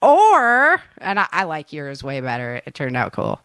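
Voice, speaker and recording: A woman's voice: sits low, wildly swooping pitch, measured pace, fairly bright tone, clean tone, no room sound. A woman hosting a casual chat as an author, speaking in an enthusiastic, informal way with ready laughter. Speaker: author and show host.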